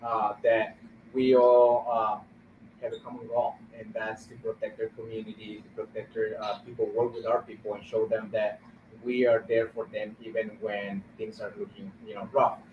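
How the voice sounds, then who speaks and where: A man speaking informally on a webinar as a community organizer, halting and searching for words. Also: lowish voice, level tone. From Guatemala. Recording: roomy, distant, muffled webinar audio with noise.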